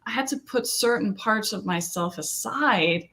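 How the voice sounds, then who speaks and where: A woman's voice: mid-range voice, expressive, a little slow, a bit bright, slight background noise. A middle-aged woman from Denmark, a therapist and coach speaking earnestly in an interview and often asking "right?". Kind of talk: conversational interview.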